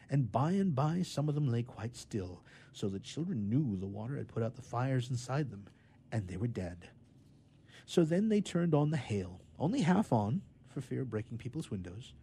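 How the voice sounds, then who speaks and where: A man reads a children's story with expressive, theatrical emphasis. His voice is soft-edged and somewhat husky, and his pitch moves widely from phrase to phrase.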